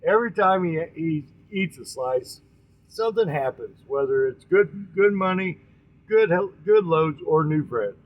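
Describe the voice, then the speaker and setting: A man with a somewhat high voice tells a story in a homespun, informal style. He talks straight to his listeners, often stumbling and correcting himself as he goes. The recording is dull and lo-fi. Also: lively intonation. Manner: halting, often repeats and corrects words; folksy, addresses listeners directly. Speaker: storytelling host.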